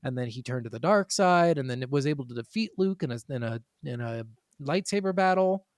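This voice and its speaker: Man, medium pitch, casual podcast chat, rambling explainer, sweary, dry sound. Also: animated, brisk, clean tone, no echo. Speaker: podcast co-host. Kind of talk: casual chat about video games.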